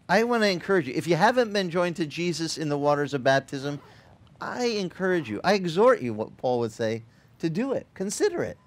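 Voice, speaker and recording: A middle-aged male pastor whose first language is Italian, preaching outdoors by the sea into a headset mic. His voice sits a little high and swings widely in pitch as he talks. He keeps it casual and playful, slipping in joking asides between his points. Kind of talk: sermon. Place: outdoor beach service.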